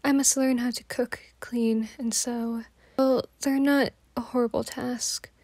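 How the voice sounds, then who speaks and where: Wistful, plain-spoken farm girl, acted by a woman, higher voice, recorded dry, for an oral-history monologue. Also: flat delivery, measured pace, darkish tone, no room sound. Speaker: student performer.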